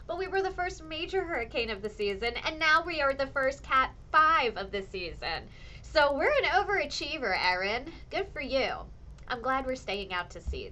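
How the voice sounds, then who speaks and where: Chatty, bright-voiced woman meteorologist, slightly hoarse. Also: on the high side, a little hiss or hum. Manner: animated, ranting, chatty with viewers. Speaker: chief meteorologist hosting a weather show. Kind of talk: casual livestream chat answering viewers.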